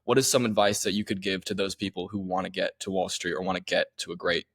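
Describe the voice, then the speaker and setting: Young man, podcast host, quick talker, dry close sound, eager questions. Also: low-pitched, little pitch movement, a bit bright, dry recording. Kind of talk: scripted intro then interview questions.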